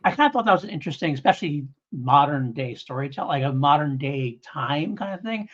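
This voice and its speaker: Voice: medium pitch, animated, fast talker. A podcast co-host in a casual review discussion, talking in a hedging, rambling way and thinking aloud. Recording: dull lo-fi audio.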